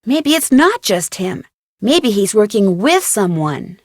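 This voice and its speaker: A woman's voice: medium pitch, very animated, slow pace, dry recording, studio quality. An actress playing a curious, determined young woman detective and dutiful daughter in a video game, polite and earnest. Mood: determined, suspicious. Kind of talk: scripted game voice acting.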